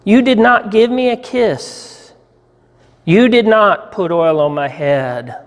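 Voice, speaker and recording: A man plays a devout, humble cobbler in a story reading, thinking aloud and questioning himself. The voice is high for a man and unhurried, with long church reverb around it. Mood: pensive. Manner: pensive, self-questioning.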